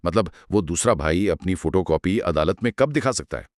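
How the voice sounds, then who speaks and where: Inquisitive man, low voice with animated pitch. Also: normal speed, dry recording, studio quality. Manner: inquisitive, rephrases points in simple terms. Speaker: co-host posing questions. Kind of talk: conversational educational dialogue.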